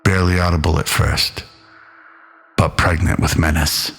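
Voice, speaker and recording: A man narrating a scripted sci-fi radio drama in an old-school, measured storytelling manner. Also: extremely low pitch, level tone, vocal fry, a bit bright, slightly rough, soft background music.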